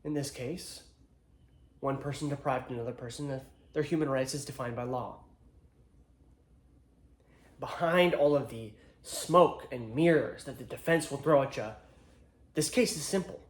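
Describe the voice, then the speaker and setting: A man playing an earnest, solemn ex-Marine attorney, delivering a deliberate, rhetorical courtroom monologue with dramatic pauses. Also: fairly high pitch, natural voice. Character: earnest male attorney, proud ex-Marine, solemnly addressing jury. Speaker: actor. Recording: echoey room.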